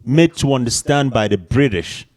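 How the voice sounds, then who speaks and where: A man's voice: medium pitch, moderate pace, darkish tone. A man born in Canada co-hosting a casual discussion, explaining history in a lecturing way with emphatic rises.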